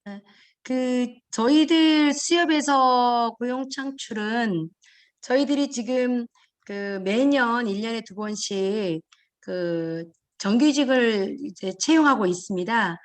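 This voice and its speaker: A woman who heads a fisheries cooperative, answering a panel discussion over a video call in an earnest, measured way. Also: on the high side. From Jeju Island, Korea. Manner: earnest, measured, with fillers and restarts.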